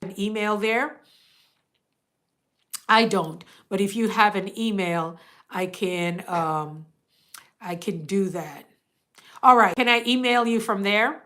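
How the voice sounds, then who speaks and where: A woman's voice: mid-range voice, takes it slowly, long pauses, a bit bright. A woman hosting a casual live stream, talking straight to her viewers in a rambling, motivational way, with a stuffed-up, congested voice. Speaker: host.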